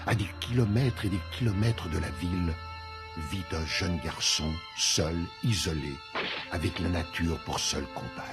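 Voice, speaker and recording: An actor as a solemn storyteller, narrating a scripted mysterious tale. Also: male voice, sits low, moderate pace. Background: music.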